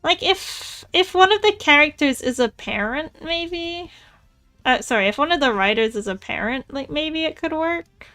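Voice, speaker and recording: A woman artist chatting casually while she draws, thinking out loud in a rambling, tentative way. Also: sits high, expressive, moderate pace. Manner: rambling, musing aloud, tentative. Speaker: artist streamer. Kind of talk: casual chat while drawing. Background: music.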